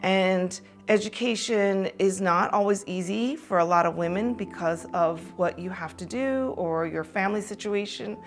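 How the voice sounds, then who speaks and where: A woman raised in Taiwan, an optometrist, giving an earnest prepared talk with frequent pauses and restarts. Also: medium pitch. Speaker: optometrist speaking on women's empowerment.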